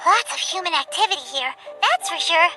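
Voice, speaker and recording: A tiny fairy sidekick with an extremely high, squeaky, childlike voice. She chatters cheekily in lively swoops, as a game character over loud music. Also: brisk. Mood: suspicious, cheeky. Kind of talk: scripted video game voice acting.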